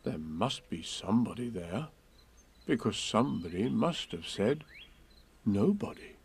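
A man reading a storybook aloud and voicing a simple, good-natured teddy bear who talks slowly and hums to himself. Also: medium pitch, mellow, close to an everyday voice. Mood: thoughtful. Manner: slow, musing, hums to himself.

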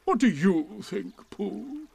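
A man's voice: high-pitched, very animated, gravelly, a little mellow, slightly rough, dry recording, pitched above the performer's own voice, full character voice. Gloomy old donkey, grumbling and dragging out every word, as a man puts him on. Storybook reading. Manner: slow, grumbling drawl.

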